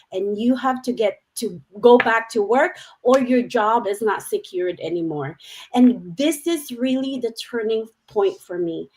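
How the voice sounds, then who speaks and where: A woman's voice: on the high side, mellow. A woman hosting a live online panel over a video call, upbeat and earnest, with fillers as she talks.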